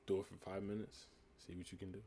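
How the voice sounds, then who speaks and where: A young man from the United States with a calm, laid-back voice, reading live chat questions aloud and answering them in a relaxed, reflective way. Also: low voice, quick pace, noisy background. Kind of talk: casual live stream chat.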